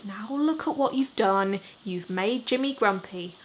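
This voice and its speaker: A woman's voice: medium pitch, unhurried, natural voice. A fussy young man from a boyband, as a woman narrator reads him. He is dry and exasperated in this audiobook dialogue, with faint background noise.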